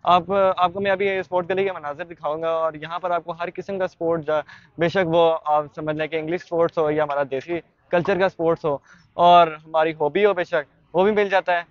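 A man's voice: on the high side, steady tone, brisk, no echo, highs cut off. Young man guiding a market tour. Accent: Pakistani Urdu. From Pakistan. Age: young adult. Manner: chatty guide, frequent fillers and restarts. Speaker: vlogger. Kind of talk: walking market tour vlog. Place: busy street market and sports shop.

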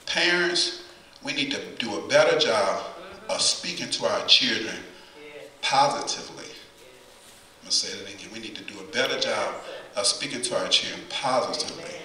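Middle-aged male preacher, bright-toned, folksy, repeats himself for emphasis, caught by a distant camera in a ringing church. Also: lowish voice, expressive, moderate pace. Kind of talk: conversational sermon with call and response. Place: church sanctuary at the pulpit. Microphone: far camera, pulpit mic. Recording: echoey church from far camera.

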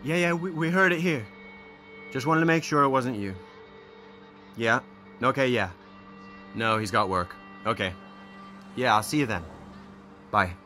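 Bright-voiced stoner teenage boy. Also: mid-range voice, measured pace, long pauses, faint music behind. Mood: casual. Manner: slangy, laid-back. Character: crude, laid-back stoner teenage boy. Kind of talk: naturalistic audio drama acting.

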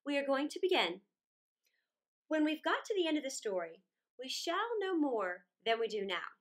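A middle-aged woman reading a children's story aloud in a theatrical, deliberate way, drawing out words with dramatic pauses. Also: high-pitched, very animated. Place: small indoor room against a plain wall.

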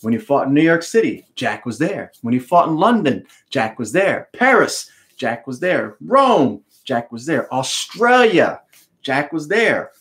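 A middle-aged American man giving an expressive storybook read-aloud with explanatory asides, speaking emphatically with dramatic pauses. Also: a little high. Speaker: author reading his book aloud. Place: home room with bookshelves, indoors.

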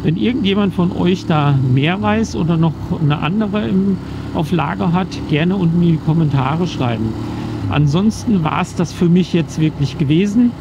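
A man's voice: sits high, moderate pace, creaky. A middle-aged German man riding a motorcycle and casually explaining camera gear in a rambling way, full of fillers, through a helmet mic. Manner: relaxed, rambling with many fillers. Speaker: motovlogger reviewing action cameras. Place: riding a motorcycle outdoors on country roads. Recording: muffled helmet mic with wind and engine noise.

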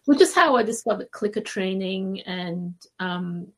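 A woman from Malaysia speaking as a podcast guest, telling stories casually with hesitant, conversational pauses. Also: medium pitch, lively intonation, rough recording. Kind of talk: casual interview storytelling.

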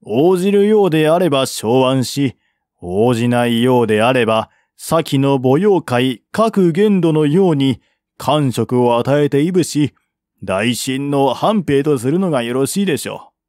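A man's voice: mid-range voice, lively intonation, measured pace, a little mellow, no echo, clean studio sound, lightly acted. A man voicing an earnest, persuasive envoy of ancient China in a historical audiobook, speaking formally and deferentially. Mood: reasoned persuasion.

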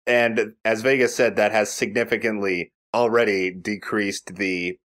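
Man, low voice, casual let's play commentary, explanatory, rambling. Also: fairly even intonation, measured pace, a bit bright.

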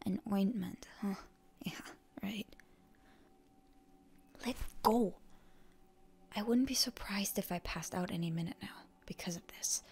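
A woman playing a proud, stubborn young heroine in an ASMR roleplay, in a breathy, often whispery voice with indignant bluster. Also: mid-range voice, darkish tone. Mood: indignant, defiant. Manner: indignant, halting, tsundere bluster. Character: proud, stubborn wounded young heroine, defiant toward her enemy.

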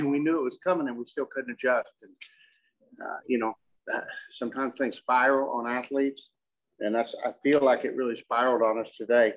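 A middle-aged man speaks as a coach at a postgame press conference. His pitch is medium, and he talks in a measured, halting way, restarting phrases and repeating words as he answers. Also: rough recording. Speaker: softball coach. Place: indoor press conference room with curtain backdrop.

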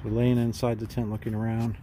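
Low, flat-voiced man reviewing outdoor gear. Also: brisk. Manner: relaxed, plain-spoken, frequent "um"s. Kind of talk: casual product walkthrough. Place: outdoors in a backyard, inside and beside a tent. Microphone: phone camera, handheld and at arm's length. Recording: phone recording outdoors with background noise.

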